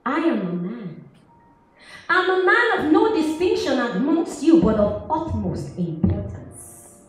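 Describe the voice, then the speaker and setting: A woman voicing a calm, gentle holy man in a measured, solemn dramatic monologue. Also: high-pitched, heavily acted. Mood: calm, assured. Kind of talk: dramatic spoken-word monologue performed live. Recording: echoey hall from far off, music.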